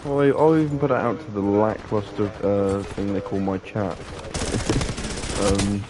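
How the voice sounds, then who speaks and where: A nineteen-year-old man playing a shooter on stream, making dry, deadpan asides to his co-host. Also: lowish voice, unhurried, very noisy. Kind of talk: casual gaming stream banter.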